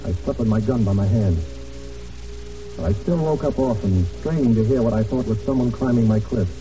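An actor in an old radio drama playing a hunted American Navy man in hiding, weary but determined, speaking in a halting, earnest way. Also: on the low side, dark tone, close to an everyday voice. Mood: haunted, grim. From United States. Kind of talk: old radio drama acting, first-person narration and dialogue. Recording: old radio broadcast, distant, music behind.

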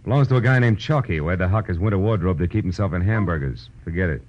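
An actor playing a tough, wisecracking private detective in a 1940s radio noir, with an American accent and a clipped, world-weary, slangy delivery. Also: male voice, deep voice, lively intonation, quick pace. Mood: suspicious. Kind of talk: 1940s radio noir acting, hardboiled first-person narration. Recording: dull vintage radio recording, music behind.

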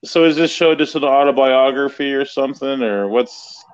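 A man's voice: medium pitch, moderate pace, clear, resonant tone, no room sound, muffled recording. A man on a video call, friendly and joking. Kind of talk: casual video call chat.

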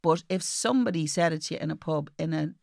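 Irish female podcast co-host, slightly low register, casual comic storyteller, dry close sound. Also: fast talker, gravelly, darkish tone, no echo. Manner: chatty anecdotal storytelling.

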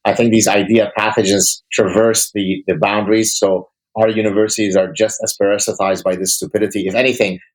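A man's voice: on the low side, little pitch movement, brisk, few pauses, low-quality recording. A man from Lebanon whose first language is Arabic, giving emphatic, articulate opinions in formal vocabulary as an academic interview guest.

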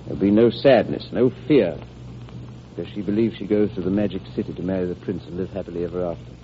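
A man playing a devoted, sorrowful father in a vintage American radio drama, speaking earnestly and tenderly, with a halting delivery. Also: low-pitched, close to an everyday voice. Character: devoted, sorrowful father shielding a dying child with fairy tales. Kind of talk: 1930s-40s American radio drama acting. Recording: muffled old radio recording with hiss.

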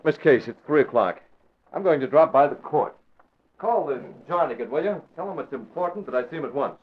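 A cool, probing newspaper publisher. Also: male voice, fairly high pitch, husky. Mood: brisk. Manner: calm, measured, pointed questioning. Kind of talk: 1940s radio acting. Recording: tinny phone-like old radio recording.

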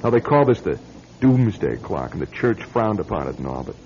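An actor playing a wry, impatient young bachelor in a classic radio drama. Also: male voice, low voice, sing-song, fairly quick, darkish tone, a little hiss or hum. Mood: exasperated, uneasy. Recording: dull, lo-fi old radio recording.

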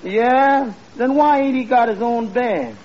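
An actor playing a blunt, slangy tavern sidekick in old-time radio comedy style. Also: male voice, very high-pitched, slow pace. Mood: deadpan, needling. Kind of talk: 1940s-50s radio comedy acting. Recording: muffled vintage radio recording with noisy studio audience.

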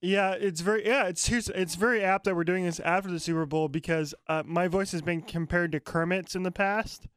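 Booming, laughing man with a clear voice. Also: steady tone, brisk, few pauses, dry recording. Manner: loud, booming, laughs often. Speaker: podcast co-host. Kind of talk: casual chat.

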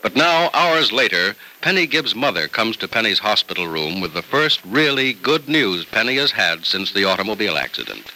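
Male vintage radio announcer and narrator, declamatory, very sharp and forceful, highly animated. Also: normal speed, few pauses, low-quality recording. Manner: polished, declamatory delivery.

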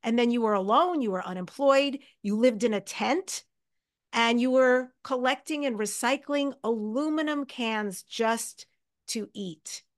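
A woman's voice: on the high side, a little slow. Effusive woman hosting an interview podcast. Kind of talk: conversational interview.

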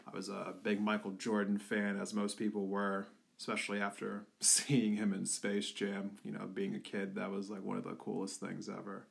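A young man from the Philadelphia area talking earnestly in a personal tribute, halting with many fillers. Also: lowish voice, completely flat delivery, creaky. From Philadelphia area, USA. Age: young adult.

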